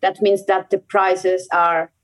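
A woman's voice: lowish voice, level tone, slow. A young adult woman from Venezuela, a Spanish speaker, talking casually in an interview, laughing often and restarting sentences as she chooses her English words. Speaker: guest, rock climber.

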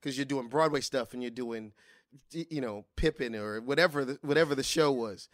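A middle-aged man speaks in a relaxed interview, thinking aloud and stumbling over restarts before landing his point. His voice sits in an ordinary middle register. The sound is close and dry, with no room around it. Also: medium pitch, dry recording. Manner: halting, restarts often, animated when recalling singing. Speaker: guest singer. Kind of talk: casual interview conversation.

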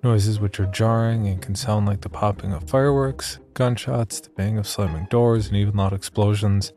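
A man narrates a scripted horror story. His voice sits fairly low, and soft music runs faintly underneath. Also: fairly even intonation, normal speed.

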